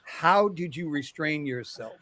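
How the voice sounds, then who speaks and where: A man co-hosting a casual livestream chat, excitable and quick to swear, calling his guest bro. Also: a little high. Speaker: co-host.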